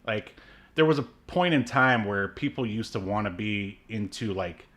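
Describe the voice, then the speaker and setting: A man's voice: lowish voice, lively intonation, normal speed, fairly bright tone. A man co-hosting a podcast, chatting casually and rambling with fillers and small laughs. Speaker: podcast co-host. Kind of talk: casual chat.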